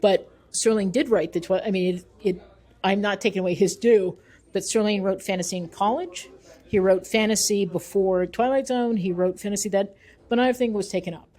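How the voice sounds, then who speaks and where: A woman's voice: mid-range voice, fairly even intonation, a little fast, a little mellow. A middle-aged woman, an author and researcher, answering interview questions conversationally in an emphatic, opinionated, explanatory manner.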